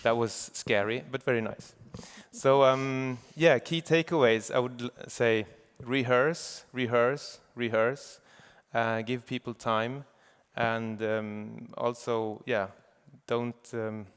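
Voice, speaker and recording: Guy talking off the cuff at a conference, clear voice, stumbles for words, echoey hall. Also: mid-range voice, steady tone. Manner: halting, searching for words. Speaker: audio drama director or producer, panel speaker. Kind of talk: informal conference talk. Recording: reverberant hall.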